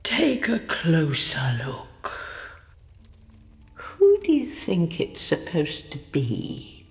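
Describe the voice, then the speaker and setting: A woman voicing an eerie old crone who mocks and cackles, speaking in a slow, gravelly drawl. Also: low voice, huge pitch swings, exaggerated voice. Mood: eerie mocking. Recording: long hall reverb with faint music.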